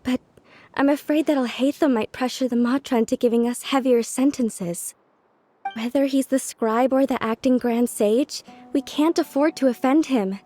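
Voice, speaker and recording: A woman's voice: sits high, moderate pace, warm tone. An actress playing a cautious, apprehensive female academic in a video game. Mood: worried.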